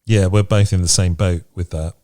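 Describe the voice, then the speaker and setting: A man's voice: low voice, fairly even intonation, normal speed, warm tone, no room sound, clean studio sound. A man hosting a podcast, chatting casually in a reflective, encouraging way. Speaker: podcast host. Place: remote video chat recording.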